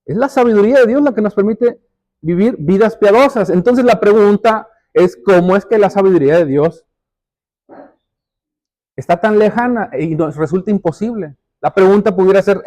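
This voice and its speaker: A man with a notably high voice delivers a sermon. He teaches as he goes, putting questions to the congregation and repeating key phrases for emphasis. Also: darkish tone. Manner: didactic, explanatory, rhetorical questions. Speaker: preacher. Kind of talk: sermon, addressing the congregation directly.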